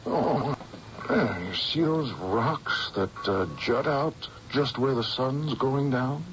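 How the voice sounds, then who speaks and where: An actor playing a haunted, weary man of forty in a radio drama, halting, brooding and dramatic. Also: mid-range voice, a bit bright. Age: 40s. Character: haunted, weary forty-year-old man, convinced he is doomed. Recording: dull, slightly noisy vintage radio recording.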